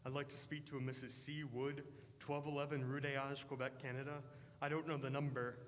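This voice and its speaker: A man's voice: medium pitch, little pitch movement, a little fast, natural voice. Man playing a stern, suspicious father, reverberant room. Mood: businesslike. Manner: firm, formal, pressing. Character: stern, suspicious father interrogating his young houseguest. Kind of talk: 1940s radio drama acting.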